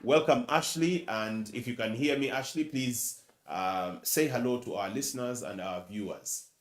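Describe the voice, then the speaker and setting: A man from Uganda with a Luganda-influenced accent, moderating a press conference and formally introducing panelists with a measured delivery and some fillers. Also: medium pitch, roomy sound. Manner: measured, reads bios with fillers.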